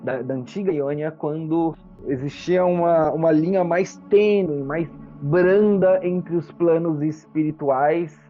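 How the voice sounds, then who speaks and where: A man with a Brazilian accent and a slightly high voice narrates a tabletop fantasy game as its game master. He describes the scene in a conversational way. The audio is a little dull, with soft music behind him. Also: a little slow. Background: background music.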